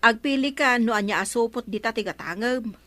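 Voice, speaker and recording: An actress with an Ilocano accent playing a chatty, inquisitive village shopkeeper in a comedy radio drama. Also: female voice, a little high, a little fast, bright, forceful tone, a little hiss or hum.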